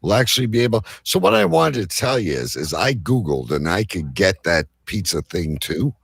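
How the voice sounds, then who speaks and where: Gravelly deep-voiced man hosting casually. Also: very animated, moderate pace, a bit bright, no echo, clean studio sound. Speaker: host of a live online show. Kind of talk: casual live-show banter.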